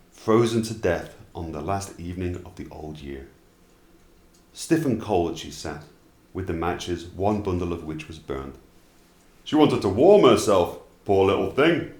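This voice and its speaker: A man narrating fairy tales as an expressive storyteller, at times dropping into casual asides. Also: deep voice, roomy sound.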